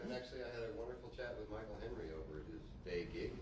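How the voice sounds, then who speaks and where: A man's voice: fairly low pitch, animated, racing. A man casually introducing himself as a guest at a club meeting. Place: indoor club meeting room. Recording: echoey room, loud noise, distant mic.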